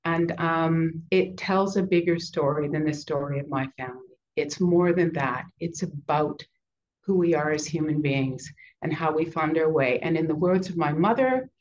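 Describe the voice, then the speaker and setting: A woman's voice: deep voice, normal speed, darkish tone. Older woman with a cold, hesitant. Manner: hesitant, many ums and restarts. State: has a cold, deeper voice. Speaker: playwright guest. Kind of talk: video call interview. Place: home study with bookshelves. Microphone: video call. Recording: dull lo-fi call audio, faint noise.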